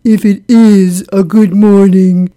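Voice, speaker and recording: Woman as a doleful old donkey, very dark tone, extremely slow, deadpan, sardonic, weary, children's storybook. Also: mid-range voice, lightly acted. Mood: glum. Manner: ponderous, mournful, deadpan. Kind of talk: children's storybook character reading.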